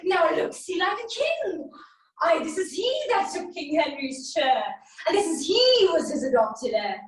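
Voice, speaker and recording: A vengeful warrior queen in a Shakespearean stage monologue, her voice high and echoing around the room. She taunts with theatrical relish, drawing out her words slowly and mockingly. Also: very animated, exaggerated voice. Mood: scornful, vengeful. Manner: theatrical, taunting, drawn-out delivery with swings. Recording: echoey room, distant microphone.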